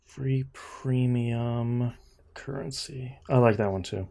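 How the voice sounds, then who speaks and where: A man's voice: medium pitch, slow pace, creaky, dark tone. A man hosting a podcast in a casual, conversational way. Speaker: podcast host.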